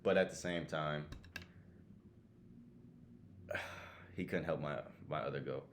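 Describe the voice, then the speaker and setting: Very deep-voiced slangy man. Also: takes it slowly, a little hiss or hum. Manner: slangy, swearing, emphatic. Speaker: podcast co-host. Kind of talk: casual chat ranking favourites.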